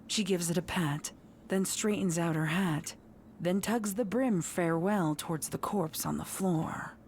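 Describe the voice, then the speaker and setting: Low-voiced woman narrating a horror story. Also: unhurried, darkish tone, a little hiss or hum.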